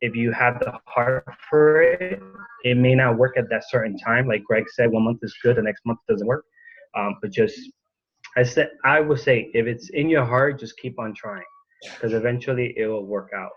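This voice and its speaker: A man who coaches fitness, sharing casually on a video call, halting with many ums and uhs. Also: mid-range voice. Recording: dull lo-fi call audio.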